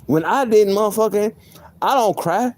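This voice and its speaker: A man with a soft voice, venting on a live stream in a heated, profane way, often repeating himself. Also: high-pitched, normal speed. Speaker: livestreamer.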